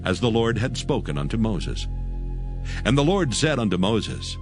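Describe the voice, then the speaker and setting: A man's voice: fairly low pitch, very animated, normal speed. A man narrating a dramatized scripture reading in a solemn, scripted style. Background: music.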